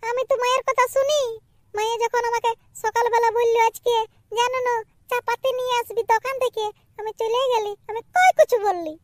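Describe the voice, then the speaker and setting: Very high, squeaky little boy. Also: fairly even intonation, fairly quick, no echo, clean studio sound. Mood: whining, defensive. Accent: Purulia Bengali. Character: stubborn, wheedling little village boy. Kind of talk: amateur cartoon comedy dialogue.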